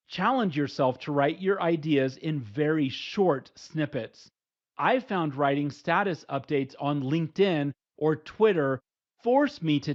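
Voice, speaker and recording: A middle-aged man giving steady, plain, numbered advice in a scripted instructional talk. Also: a little high. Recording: dull lo-fi recording.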